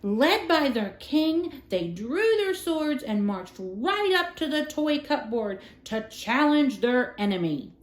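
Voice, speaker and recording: Expressive woman storyteller in an echoey room. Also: high-pitched, takes it slowly, hardly any pauses. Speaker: storyteller reading aloud. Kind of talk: scripted children's storybook narration. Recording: roomy, echoing room sound.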